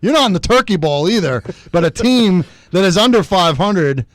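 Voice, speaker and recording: A man's voice: high-pitched, animated, moderate pace, no room sound. A man hosting a sports radio show from the studio, chatty and wry with chuckling asides. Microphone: studio microphone.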